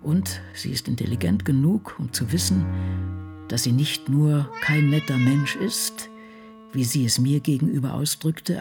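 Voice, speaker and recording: Radio drama casting: ageing, world-weary female writer, gravelly and mellow, brooding diary monologue over soft music. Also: a bass voice, heavily acted. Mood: sardonic. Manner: brooding, dry, introspective.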